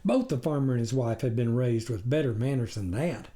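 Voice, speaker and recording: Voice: medium pitch, brisk, dark tone. An author reading a satirical story as scripted narration.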